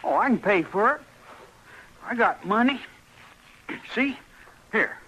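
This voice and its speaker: A man's voice: high-pitched, lively intonation, slow pace, bright, forceful tone, heavily acted. A male actor playing a cocky, eager frontier boy in an old radio western, with a rustic American accent. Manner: rustic boyish bragging. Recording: noisy old radio recording.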